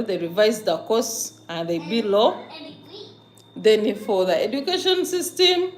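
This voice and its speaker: A Ugandan woman with a somewhat high-pitched voice speaks casually to her livestream viewers. She is emphatic, repeating herself and punctuating her talk with questioning hums. There is clear noise behind her voice. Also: low-quality recording. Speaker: livestream host.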